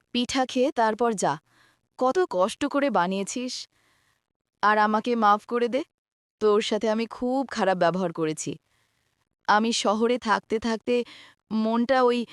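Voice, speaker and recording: A woman's voice: fairly high pitch, smooth, no echo, studio quality, close to an everyday voice. A woman playing a proud elder sister from the city in a children's cartoon, speaking emphatically.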